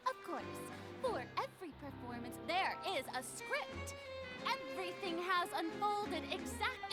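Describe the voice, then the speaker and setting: A showy young queen figure in a video game. The voice is extremely high, grandiose and haughty, over clear background music. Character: theatrical, haughty young female ruler, flustered underneath.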